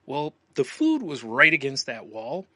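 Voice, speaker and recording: A man with a bright, forceful voice tells a loose, rambling story on a podcast. His voice sounds close and dry, with no room around it. He stops for filler words and laughs often. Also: mid-range voice, wildly swooping pitch, unhurried, no room sound. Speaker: podcast co-host. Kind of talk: casual chat.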